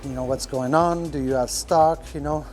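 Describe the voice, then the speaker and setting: Man talking shop, halting. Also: on the high side, steady tone, a little mellow, soft background music. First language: French. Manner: rambling, many fillers, searches for words. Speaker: restaurant owner. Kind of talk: casual podcast talk. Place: restaurant kitchen.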